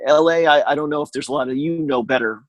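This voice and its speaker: An American man in middle age, talking casually as an interview guest in a halting, meandering way with dry humor. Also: fairly high pitch, no room sound, muffled recording. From Connecticut, United States. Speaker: podcast guest, audio drama producer.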